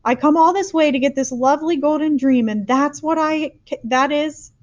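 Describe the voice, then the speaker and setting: A woman's voice: sits high, normal speed, voice pushed up, natural voice. A woman voicing a big, friendly old male giant who mangles his grammar and invents words, in a dull lo-fi recording. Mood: distressed.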